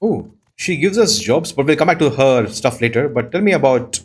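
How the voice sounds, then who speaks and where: A man's voice: mid-range voice, animated, normal speed, slightly rough. A man giving casual game commentary, musing aloud and exclaiming at the sights. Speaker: let's player and show host.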